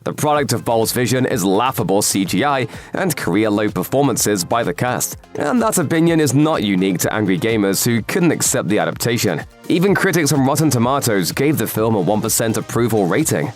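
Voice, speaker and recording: A man delivers scripted video essay narration with a sardonic, emphatic edge. His pitch moves around in a lively way as he stresses key words. Music plays quietly underneath. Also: brisk. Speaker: voiceover narrator. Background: background music.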